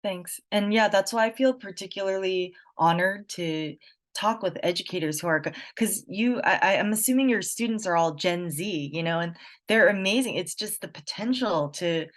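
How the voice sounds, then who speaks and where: A woman's voice: mid-range voice, normal speed. A woman from the United States, a journalist answering audience questions in a chatty, reflective way with lots of fillers. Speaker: guest speaker, journalist. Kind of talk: casual Q&A talk.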